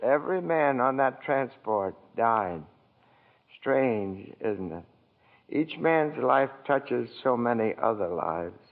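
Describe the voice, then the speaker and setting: An actor playing a gentle, bumbling elderly male angel who talks timidly and politely. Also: medium pitch, lively intonation, slow, long reverb tail. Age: older. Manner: timid, polite, often says sir. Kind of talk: 1940s radio acting. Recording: tinny 1940s radio broadcast with music.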